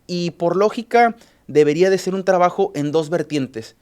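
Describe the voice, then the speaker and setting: A man with a Mexican Spanish accent, a journalist recapping news cases on a radio show, halting often with filler sounds and restarts. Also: high voice, darkish tone.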